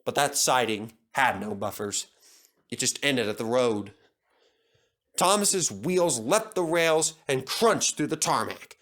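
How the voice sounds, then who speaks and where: Unhurried man reading a children's story. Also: medium pitch, expressive, fairly bright tone. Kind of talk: scripted children's story narration.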